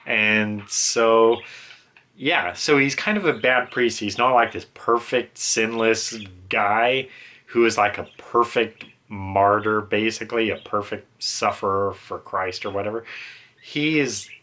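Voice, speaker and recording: Bright-voiced man giving a casual book review. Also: fairly low pitch, slow pace. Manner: informal and rambling, self-aware.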